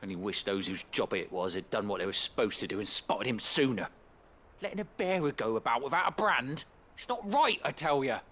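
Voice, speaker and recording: A man's voice: medium pitch, lively intonation, fairly quick, pushed and bright. An actor playing a coarse, peevish, bigoted townsman in a video game, with a blustering British delivery. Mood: bitter, indignant. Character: foul-mouthed, bigoted townsman, proud and peevish.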